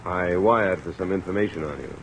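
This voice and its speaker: A cool investigator leading a vintage radio detective drama. The voice is low, and the delivery is laconic and matter-of-fact. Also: male voice, brisk, a little hiss or hum. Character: cool, laconic male freelance insurance investigator. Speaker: lead character and narrator.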